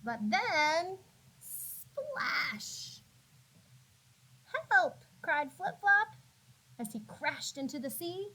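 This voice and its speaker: A woman with a fairly high voice reads a picture book aloud for young children. She goes very slowly, stretching words out and giving the narration dramatic, teacherly expression. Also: big pitch swings, pushed and bright, rough recording. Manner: dramatic, drawn-out words and sound effects for young listeners. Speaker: classroom teacher reading aloud.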